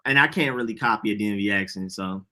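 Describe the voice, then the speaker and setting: Bright, laughing young man co-host. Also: on the low side, quick pace. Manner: laughs often, animated and joking. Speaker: podcast co-host. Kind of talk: casual chat.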